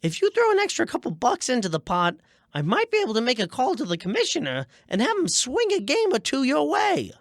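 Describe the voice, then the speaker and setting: A woman playing a fast-talking young schemer with glib, wheedling sales patter in a scripted radio comedy. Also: high-pitched, huge pitch swings, no room sound, clean studio sound, exaggerated voice. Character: fast-talking young scheming huckster pitching dubious deals.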